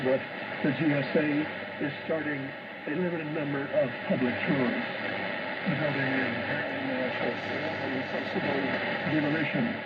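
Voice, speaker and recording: A man reads a scripted radio bulletin as host, in clipped short phrases, heard over shortwave radio. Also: a little high. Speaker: radio host reading shortwave listening news. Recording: noisy, muffled shortwave radio reception. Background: loud radio static and hiss.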